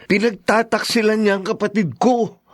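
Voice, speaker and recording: A man's voice: sits high, steady tone, normal speed, close to an everyday voice. A man playing an indignant, protective older brother in a radio drama. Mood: angry. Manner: heated, indignant.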